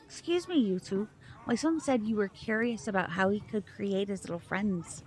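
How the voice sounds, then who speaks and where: A woman acting a protective magician mother in an audio drama, polite and measured, with a suspicious edge. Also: medium pitch, a little mellow, slight background noise, natural voice. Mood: polite, apologetic.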